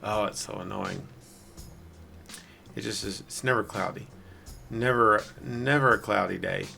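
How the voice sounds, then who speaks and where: A man with a raspy, hoarse voice giving rambling, self-deprecating casual commentary as a gameplay video host. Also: lowish voice, fairly even intonation, a little slow, bright, forceful tone, faint music behind. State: hoarse from singing the night before.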